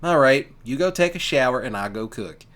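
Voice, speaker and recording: A man's voice: mid-range voice, expressive, fairly bright tone, natural voice. A man playing an easygoing, affectionate country boyfriend in an ASMR roleplay, chatting casually and teasingly with his sweetheart. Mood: teasing, tender. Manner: casual, teasing, folksy, with pauses. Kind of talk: ASMR boyfriend roleplay, one-sided conversation.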